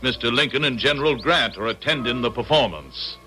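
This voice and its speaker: An actor in an old-time radio drama playing a genteel, courteous 19th-century gentleman with formal speech. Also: mid-range voice, animated, a little fast, a little hiss or hum. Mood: courteous, proud.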